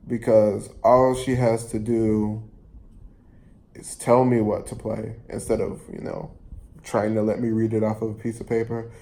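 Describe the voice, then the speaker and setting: A man telling a personal story casually, in a halting, rambling way, often rephrasing and repeating himself. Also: medium pitch, mellow. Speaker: storyteller vlogger.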